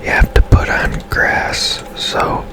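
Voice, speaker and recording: Slow, whispering man doing gaming ASMR. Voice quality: breathy, unvoiced whisper. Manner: casual, unhurried, halting. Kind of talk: whispered ASMR game commentary.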